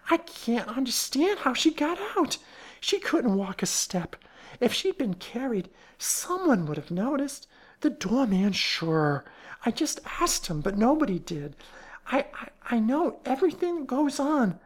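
A woman's voice: a little high, big pitch swings, measured pace, voice pushed up. A woman voicing a timid, slovenly housekeeper who frets and stammers as she chatters. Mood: fretful. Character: timid slovenly middle-aged female housekeeper.

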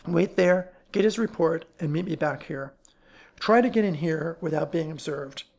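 A man's voice: fairly high pitch, moderate pace, vocal fry, darkish tone. A man playing a composed, clever amateur detective in an audiobook, speaking in an assured, incisive manner. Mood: grim, brisk.

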